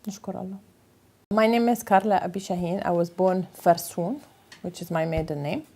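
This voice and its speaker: A woman's voice: lowish voice, steady tone, fast, warm tone. A mature Lebanese woman, a native Arabic speaker, telling her personal story in an emphatic way with a Lebanese accent. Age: 50s or older. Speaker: interviewee, mother telling her life story. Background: plucked guitar music.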